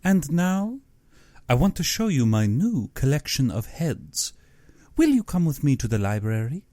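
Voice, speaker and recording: Storybook villain: male reader as a genteel, menacing general, pompous and over-polite, pitched up and swinging widely, unhurried. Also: big pitch swings, mellow, dry recording. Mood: courteous, eager. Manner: pompous, exaggeratedly courteous. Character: genteel, menacing aristocratic general who hunts men.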